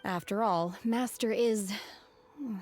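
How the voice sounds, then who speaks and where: A woman playing a calm, reserved, inexpressive young woman in a video game, speaking in a cool, formal way. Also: medium pitch, slow pace, natural voice. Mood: composed, matter-of-fact. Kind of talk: scripted video game voice acting. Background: background music.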